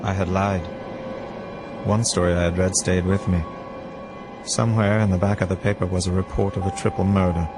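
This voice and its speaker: Story narration: male actor as weary, brooding hardboiled narrator, low register, measured, scored with music. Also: level tone, lightly acted. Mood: brooding, reflective.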